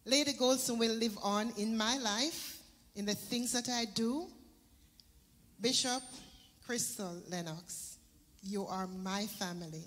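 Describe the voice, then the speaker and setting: A middle-aged woman giving an emotional memorial tribute at a church service, speaking into a handheld mic. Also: mid-range voice. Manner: emotional, measured speech. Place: large church sanctuary. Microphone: handheld microphone. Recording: reverberant church hall.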